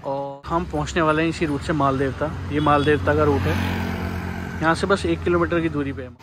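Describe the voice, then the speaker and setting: A man's voice: on the high side, fairly even intonation, a little fast. A young man from India narrating a casual travel vlog to his viewers, chatty and enthusiastic, recorded on a phone in a car and outdoors. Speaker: travel vlogger. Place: in a car, then outdoors by a river. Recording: outdoor phone audio with loud background noise.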